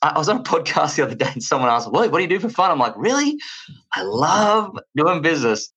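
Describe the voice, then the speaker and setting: A man in his thirties with an Australian accent, a podcast guest telling stories in an energetic, rambling way. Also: on the high side, quick pace, slightly rough. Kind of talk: casual chat.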